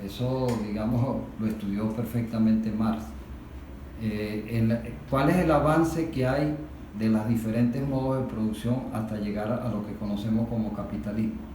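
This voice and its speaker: A Venezuelan man in a political discussion, explaining things didactically and deliberately, with emphatic points and rhetorical questions. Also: lowish voice, steady tone, dark tone. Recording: very echoey room, distant mic, faint noise.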